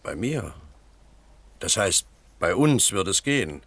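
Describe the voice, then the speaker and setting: An actor playing a plain-spoken, hesitant village cattle-barn worker in a German radio crime drama. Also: male voice, deep voice, very animated, takes it slowly, fairly bright tone, breathy edge, full character voice. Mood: helpful, hesitant. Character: village cattle-barn worker, startled but helpful witness.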